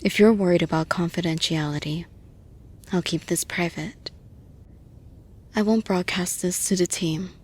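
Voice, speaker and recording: Woman acting a breathy, slow-talking lady boss. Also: on the low side. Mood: soothing, reassuring. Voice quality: breathy, often dropping to a whisper. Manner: slow, deliberate, reassuring. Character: caring, persuasive female boss offering support to employee. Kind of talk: intimate audio roleplay, soft-spoken monologue.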